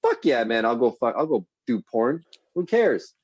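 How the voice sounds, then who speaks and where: A man's voice: medium pitch, moderate pace, breathy edge, no echo. Profane, rambling male podcast guest. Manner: profane, rambling, animated storyteller. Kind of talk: casual storytelling chat.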